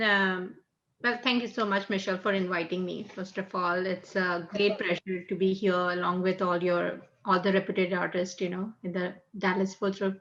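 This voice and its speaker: A woman's voice: mid-range voice, highs cut off. A woman artist on a panel, politely explaining how she works. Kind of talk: explaining her art practice.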